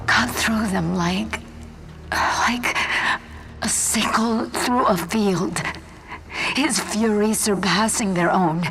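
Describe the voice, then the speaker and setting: A weary female scientist speaks with slow, solemn reverence, like a devout believer. Her voice is lowish and heavily creaky, often near a whisper. Clear noise sits behind this dramatic game log. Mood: haunted, awed. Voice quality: low, creaky, breaking into whispers. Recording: clearly noisy log recording.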